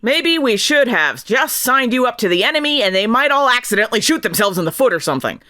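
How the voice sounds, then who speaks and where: A woman playing a gruff, sarcastic female military captain in an audio drama, barking and mocking theatrically. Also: on the high side, very animated, quick pace, sharp tone, no echo, clean studio sound.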